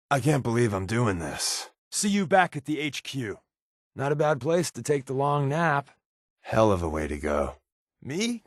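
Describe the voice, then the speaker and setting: An actor playing a sly, irreverent covert resistance agent in a video game, with an American accent and a wry, casual, sardonic way of talking. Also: male voice, medium pitch, no room sound, studio quality. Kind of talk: video game character dialogue, conversational.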